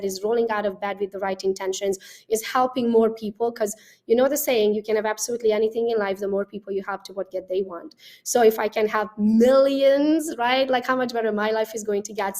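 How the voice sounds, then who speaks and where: Energetic Romanian-accented woman realtor. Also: fairly high pitch. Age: 30s. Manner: energetic, emphatic, peppers talk with "right?". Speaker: guest realtor. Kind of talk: podcast interview.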